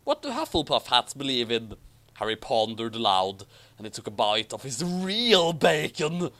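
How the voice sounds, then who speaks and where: A man's voice: mid-range voice, sing-song, moderate pace, fairly bright tone. A man reading a story aloud expressively, breaking off for sardonic asides. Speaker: reader of a story with commentary. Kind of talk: story narration with mocking asides.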